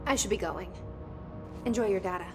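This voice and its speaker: A woman's voice: mid-range voice, fairly quick, music in the background, natural voice. An actress playing a guarded, determined young woman in a video game, with naturalistic delivery. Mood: dry, reserved. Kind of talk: naturalistic game acting with inner monologue.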